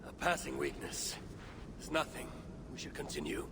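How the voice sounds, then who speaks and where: A gravelly, vengeful Norse warrior god. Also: male voice, medium pitch, big pitch swings, normal speed. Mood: weary, stoic. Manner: solemn, formal, archaic phrasing. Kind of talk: dramatic video game acting. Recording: loud background noise.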